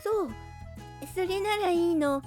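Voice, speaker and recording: Small female storybook squirrel woman acting: timid, polite, girlish, hesitant, very high-pitched. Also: a little slow, darkish tone, faint music behind. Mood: relieved.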